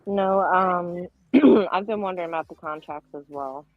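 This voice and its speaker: A woman's voice: on the low side, normal speed, no room sound. A woman speaking as a guest in a casual livestream chat. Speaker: panel guest. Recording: tinny phone-like line, music underneath.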